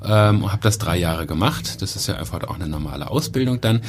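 A man talking calmly about his training and career as an architect, with frequent fillers. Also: deep voice, brisk, a little hiss or hum. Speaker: architect and festival co-organizer. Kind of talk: casual podcast conversation.

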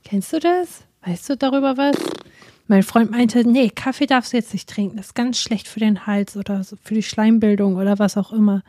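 A woman's voice: mid-range voice, moderate pace, dark tone, a little hiss or hum. A woman co-hosting a podcast in casual chat, rambling with unsure asides while she has a cold. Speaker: podcast co-host. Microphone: remote recording, separate from co-host.

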